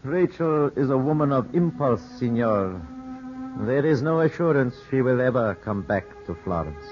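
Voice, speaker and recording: An actor playing a formal, cautioning gentleman of Florence in a 1950s radio drama, speaking deliberately. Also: mid-range voice, big pitch swings, natural voice. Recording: muffled 1950s radio recording, loud music.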